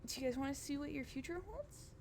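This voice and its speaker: A woman's voice: high-pitched, very animated, background noise, pitched above the performer's own voice. A woman voicing Adela, a chatty, gushing female clairvoyant who is eager to please. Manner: gushing, talks in quick bursts.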